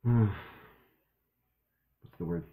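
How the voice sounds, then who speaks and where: A man's voice: very slow, narrow, tinny audio, very noisy. A young man rambling casually to a webcam in a small bathroom, with many fillers and restarts. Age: young adult. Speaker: shaving vlogger. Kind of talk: casual talk to camera. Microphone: webcam at arm's length. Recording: echoey small room.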